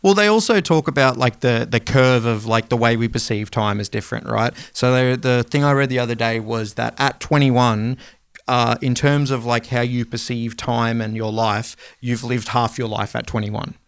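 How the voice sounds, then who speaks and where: Smooth-voiced man in casual podcast chat. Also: moderate pace, no room sound, studio quality. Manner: rambling, conversational, drops to a low mumble at times. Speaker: podcast co-host.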